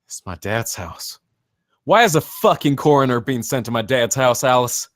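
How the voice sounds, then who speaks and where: A man's voice: mid-range voice, lively intonation, moderate pace, fairly bright tone, no room sound, studio quality, close to an everyday voice. An actor playing a troubled, unraveling man haunted by guilt in a screenplay table read. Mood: alarmed, angry.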